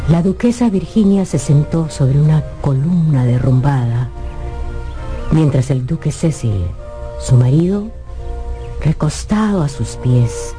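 A male narrator telling a story for radio, with an extremely soft, warm tone. His pitch moves in lively swings as he reads expressively over faint music.

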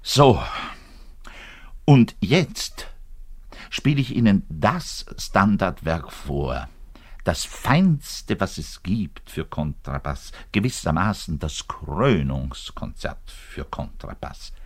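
An actor playing a frustrated, self-pitying orchestra double bassist in a radio monologue, with a southern German accent and a colloquial, ranting manner. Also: male voice, sing-song, slow, heavily acted. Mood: mock-grand presenting. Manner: ranting, colloquial, swinging from outbursts to muttered resignation. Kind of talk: German radio play monologue, addressed to the listener.

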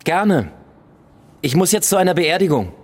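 An actor playing a contrite, impulsive police detective, earnest and halting, in a German radio drama. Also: male voice, high-pitched, very animated. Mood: subdued.

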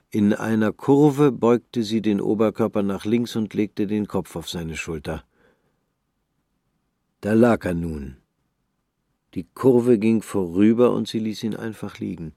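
A man narrating a literary radio drama in a calm, measured, subdued reading. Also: lowish voice, no room sound, studio quality.

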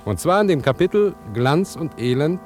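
An actor as the suspense-building storyteller, delivering scripted narration for a 1950s crime radio play. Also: male voice, a little high, lively intonation, moderate pace, darkish tone, faint music behind, natural voice. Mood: suspenseful.

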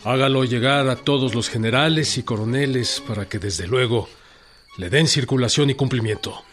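A man's voice: medium pitch, a bit bright, lightly acted. A man playing a stern revolutionary general. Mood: commanding. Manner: slow, formal dictation. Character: revolutionary general dictating a decree, commanding. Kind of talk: radio drama acting. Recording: with music underneath.

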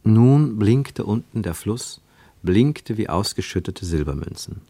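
Slow, low-voiced male radio narrator. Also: animated, dark tone, husky, no echo. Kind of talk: literary radio drama narration, scripted.

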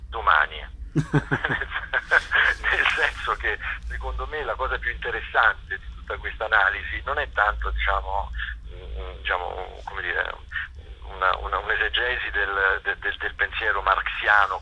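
A man giving explanatory interview answers as a theatre director, hesitant and searching for words, with a husky voice. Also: on the low side, bright, forceful tone, rough recording. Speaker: theatre director and author interviewed about a play.

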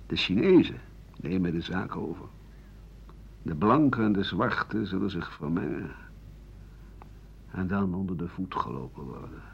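A man playing a weary, embittered writer of 67 in a radio play, grumbling in halting phrases that trail into breathy murmurs. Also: deep voice, heavily acted. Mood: gloomy, resigned. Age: older. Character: embittered, weary 67-year-old French writer and doctor, pessimistic. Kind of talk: radio play monologue as interview answers. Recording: dull, hissy 1980 radio recording.

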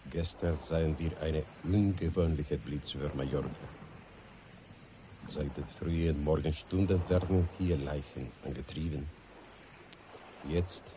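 Deep male voice, slow, grave, measured, somber island eyewitness, radio drama, noisy ambient background. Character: somber Spanish island eyewitness reporting after nuclear war.

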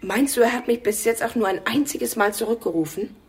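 An actress playing a cheeky thirteen-year-old daughter in a radio crime drama, casual, blunt and nagging. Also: female voice, on the high side, fairly quick, darkish tone, close to an everyday voice. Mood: probing.

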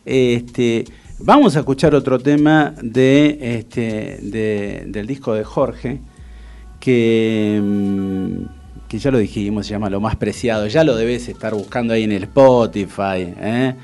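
A man's voice: on the low side, fairly even intonation, slow pace, a little mellow. A man with an Argentine accent from Buenos Aires hosting live radio in a casual, chummy way, often repeating himself. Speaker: radio host.

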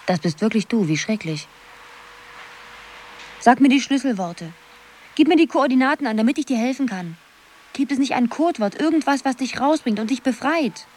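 A woman's voice: fairly high pitch, lively intonation, normal speed, pauses often, a little mellow. An actress playing a dreamy young woman absorbed in a computer game, musing half to herself in a radio drama. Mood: puzzled, then urgent.

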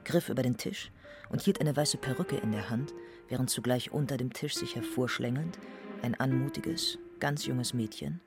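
Male narrator reading literary prose for radio play, middle pitch, music behind.

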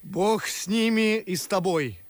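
An actor playing a skeptical, weary young gentleman in a radio play, speaking verse in a dry, dismissive, ironic manner. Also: sits high, expressive, unhurried.

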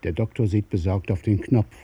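A man narrating a radio play in a measured, literary way. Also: on the low side, steady tone, mellow, slightly rough, dull audio.

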